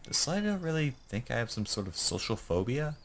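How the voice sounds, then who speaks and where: A young man with a deep voice hosting a let's play, reading a visual novel aloud and rambling in self-deprecating asides. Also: sing-song, a little fast, a bit bright, slight background noise.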